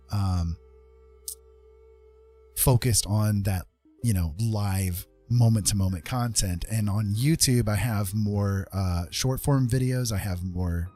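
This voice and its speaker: A man with a fairly low, husky voice hosts a casual livestream. He rambles in a personable way, speaking straight to his viewers. Faint music plays behind him. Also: darkish tone. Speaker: livestream host.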